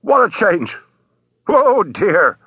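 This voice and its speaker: A man's voice: high-pitched, very animated, very slow, bright, forceful tone, breathy edge, dry recording, pitched above the performer's own voice, exaggerated voice. A man voicing a stubborn merchant sailor on watch, with insistent, ungrammatical working speech in an audiobook. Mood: chatty. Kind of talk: audiobook character voice.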